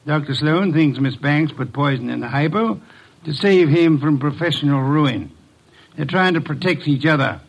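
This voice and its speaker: Casting: an older, imperious genius detective for a 1950s radio mystery; fairly high, gravelly voice, formal and pompous. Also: male voice, moderate pace, poor audio. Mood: commanding, deliberate. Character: imperious, eccentric genius male private detective. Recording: vintage radio drama recording.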